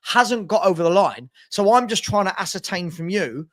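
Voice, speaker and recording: A man with a high voice presses his argument in a livestream football debate. He works toward his point methodically, asking pointed questions along the way. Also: lively intonation, moderate pace. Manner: probing, builds his case step by step. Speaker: football fan panelist.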